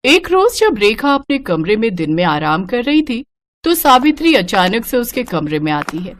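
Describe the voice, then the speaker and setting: Lively woman storyteller with a highish voice. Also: moderate pace. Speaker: story narrator. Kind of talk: scripted narration of a cartoon family story.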